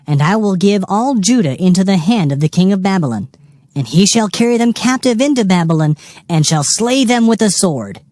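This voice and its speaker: A man's voice: sits high, animated, normal speed, darkish tone, no echo, clean studio sound. A man playing a stern, anguished Hebrew prophet pronouncing doom in a solemn, declamatory dramatized scripture reading. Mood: stern denouncing. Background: background music.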